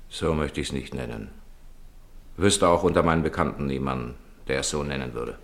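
Courteous gentleman writer in old radio play, deep voice, earnest and eloquent. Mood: sympathetic. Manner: urbane, eloquent, measured. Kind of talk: 1950s German radio drama acting.

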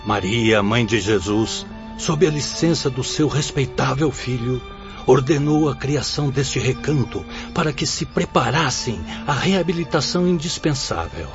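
A man's voice: mid-range voice, lively intonation, unhurried, lightly acted. An actor playing a kindly, solemn director of a spirit-world school in a Brazilian radio drama, speaking in a formal, fatherly, exhorting way. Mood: solemn exhortation. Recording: dull audio under clear music.

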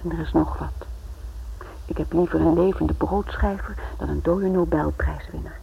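A dull, faintly noisy old radio play recording of a practical, coaxing wife. Her creaky voice is insistent and intimate. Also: on the low side, fairly even intonation, moderate pace, warm tone. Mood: hushed, coaxing. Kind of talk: radio drama acting.

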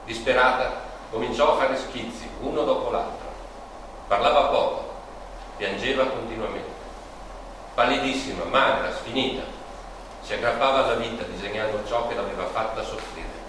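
A man playing a grave narrator in a stage drama, giving solemn, measured scripted narration. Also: mid-range voice, close to an everyday voice. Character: grave male narrator recounting a painter's tragedy. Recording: echoey, distant, noisy stage recording.

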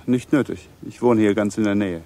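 A man's voice: fairly low pitch, a little fast, warm tone, close to an everyday voice. An actor playing a composed, wary and urbane married man in a radio play, casual yet probing.

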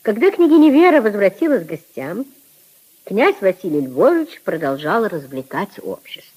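A woman narrating a literary radio drama in a 1950s style, composed and expressive, with a husky voice. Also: medium pitch, normal speed, poor audio.

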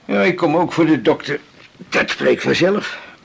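An actor playing a chatty, ailing older patient in a radio drama, short of breath and halting. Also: male voice, a little high, expressive, exaggerated voice. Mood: sociable. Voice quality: short-winded, gravelly. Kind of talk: 1980s radio drama acting.

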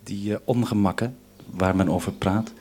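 A male radio interviewer with a lowish, husky voice. He words his questions formally and carefully, as one does over a two-way link. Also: steady tone, moderate pace, warm tone.

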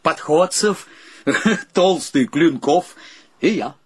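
A man in a radio play acting an eager, kindly, sentimental bachelor, courteous and excitable, who stammers a little. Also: fairly high pitch, drawn-out words, slightly rough, full character voice. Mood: shyly coaxing.

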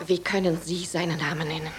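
An actress playing a grieving, unyielding widow in a radio play, with a stern, declamatory delivery. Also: female voice, lowish voice, level tone, quick pace, slight background noise, lightly acted. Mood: stern reproach. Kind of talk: theatrical radio drama acting.

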